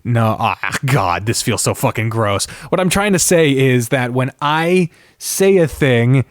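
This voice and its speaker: Male podcaster, medium pitch, close dry sound; opinionated, exasperated, sardonic, swears freely in casual rants. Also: lively intonation, measured pace, no room sound. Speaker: podcast host. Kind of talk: casual opinionated rant with read-out comments.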